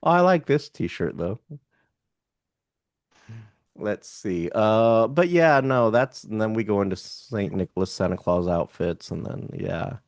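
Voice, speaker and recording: A man's voice: fairly low pitch, lively intonation, unhurried, no echo, clean studio sound. A man co-hosting a casual podcast, chatting, joking and reading prices aloud as he browses online shops. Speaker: podcast co-host.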